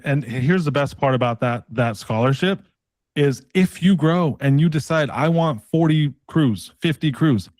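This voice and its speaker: Male sales coach for a mock call pitch: colloquial, persistent, pushy closer, mid-pitched voice in a dry recording. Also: a little mellow, dry recording. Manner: pushy, persistent, uses tag questions; casual, colloquial phrasing.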